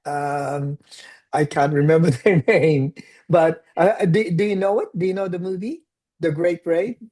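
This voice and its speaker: A man in his sixties from the Philippines with a Filipino accent, telling his story as an interview guest in a relaxed, reflective way and dropping in Tagalog phrases. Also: fairly high pitch, a little slow. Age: 60s. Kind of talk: casual interview conversation.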